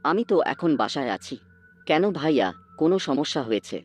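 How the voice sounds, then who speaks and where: A man's voice: on the high side, lively intonation, a little fast, soft background music, natural voice. A man playing a helpful, concerned younger brother in a horror cartoon. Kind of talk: dubbed cartoon horror dialogue.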